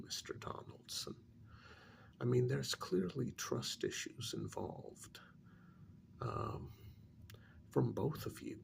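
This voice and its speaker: A man playing a patient but exasperated couples therapist in a soft-spoken ASMR role-play, measured and gently reproving, with a gravelly, sometimes breathy voice. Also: mid-range voice, slight background noise, exaggerated voice.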